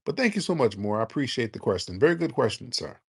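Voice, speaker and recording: A man's voice: medium pitch, fast talker, vocal fry, slightly rough. A man hosting a live call-in show, talking bluntly and challenging his callers. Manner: blunt, challenging, animated emphasis. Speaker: host taking callers.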